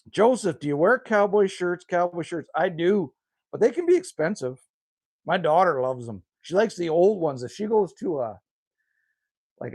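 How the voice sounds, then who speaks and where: A man's voice: a little high, darkish tone, no room sound. Folksy fifty-something man. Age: 50s. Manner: folksy, rambling, chatty with viewers. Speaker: livestream host reading chat. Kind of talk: casual livestream chat. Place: outdoors by a rural cabin.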